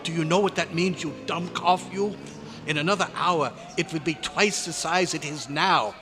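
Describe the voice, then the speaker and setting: Frantic, desperate male scientist played by a man, vintage radio melodrama, high-pitched and urgent, noisy live recording. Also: normal speed, natural voice. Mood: exasperated, urgent. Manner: urgent, pleading, exclamatory, formal diction. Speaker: club member performing a radio play recreation. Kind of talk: old-time radio drama acting. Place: hotel convention meeting room, live performance.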